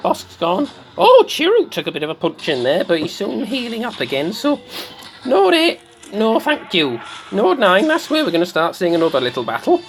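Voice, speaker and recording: Someone putting on the voice of a slightly mad, excitable woman doing theatrical video game commentary, repeating phrases for effect. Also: mid-range voice, normal speed, a little hiss or hum, low-quality recording. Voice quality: put-on comic voice. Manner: theatrical, repeats phrases, swoops between squeals and murmurs.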